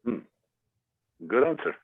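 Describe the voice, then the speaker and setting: A man speaking as a guest to a class and answering student questions, with many pauses and fillers. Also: no room sound. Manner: hesitant, many fillers and restarts. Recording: dry tinny phone-like audio.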